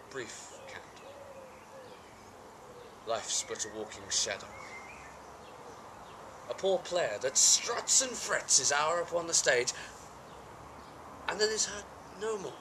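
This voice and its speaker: A man's voice: fairly high pitch, big pitch swings, measured pace, pauses often, pitched above the performer's own voice. A man playing a brooding, war-weary tyrant king in an intense, theatrical Shakespearean tragic monologue. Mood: bleak despair. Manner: theatrical, intense, shifting between hushed and outbursts. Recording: amateur home video with background noise. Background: birds and ambient noise.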